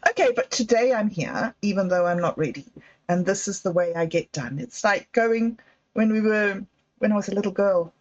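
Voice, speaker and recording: A woman who grew up in Zimbabwe, telling rambling personal stories on a livestream with frequent laughs and trailing pauses. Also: mid-range voice, animated, a little mellow. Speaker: livestream host. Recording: dull lo-fi sound.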